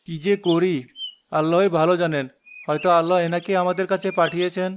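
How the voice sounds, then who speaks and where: A man voicing a poor, devout, gentle village father in a cartoon moral drama, speaking earnestly and tenderly. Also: sits high, flat delivery, fast talker, slight background noise, lightly acted. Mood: uncertain.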